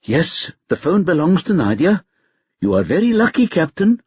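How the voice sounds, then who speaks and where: A man voicing a polite, brisk female hospital nurse giving news about a patient. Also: a little high, very animated, a little mellow, breathy edge, dry recording, highs cut off, voice pushed up, full character voice. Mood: helpful. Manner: polite, informative.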